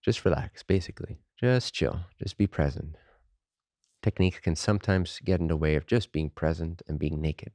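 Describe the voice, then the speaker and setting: A man leading a guided meditation as a teacher, unhurried and informal, speaking in short phrases with pauses between them. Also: deep voice, a little mellow, no room sound.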